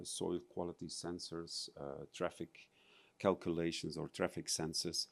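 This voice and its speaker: Low-voiced Belgian man presenting. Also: creaky. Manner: hesitant, many fillers and restarts, non-native phrasing. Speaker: conference presenter. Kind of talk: conference presentation.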